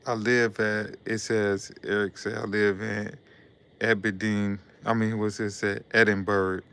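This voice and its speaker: Laid-back man, smooth steady voice. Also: lowish voice, unhurried. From Detroit, USA. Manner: loose and repetitive, reading out viewer comments. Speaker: live stream host. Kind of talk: casual live-stream chat with viewers.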